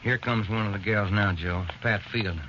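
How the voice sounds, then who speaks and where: An actor playing an easygoing police detective who talks in a plain, conversational way in a 1940s radio drama, on a noisy, dull old recording. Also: male voice, lowish voice, steady tone, normal speed, close to an everyday voice.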